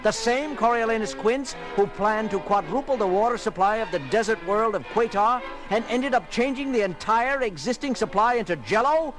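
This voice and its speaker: A man's voice: high-pitched, animated, normal speed, few pauses, over music, low-quality recording. A man playing an indignant, authoritative starship captain in a science fiction audio drama, with emphatic, exasperated delivery.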